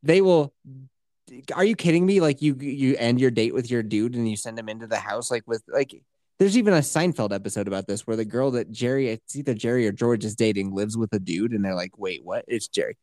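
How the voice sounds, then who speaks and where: A man's voice: medium pitch, fairly quick, no room sound, studio quality. A man hosting an advice podcast, giving blunt, crude opinions in casual chat. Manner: blunt, crude, animated storytelling. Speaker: podcast host.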